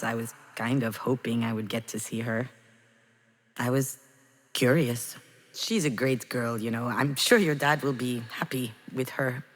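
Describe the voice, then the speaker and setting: Grieving mother for narrative game: low register, intimate halting confession, guilt-laden, long reverb tail. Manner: halting, confessional, addressing someone directly. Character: grieving, guilt-ridden mother confiding in her lost child. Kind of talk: dramatic video game voice acting, intimate monologue.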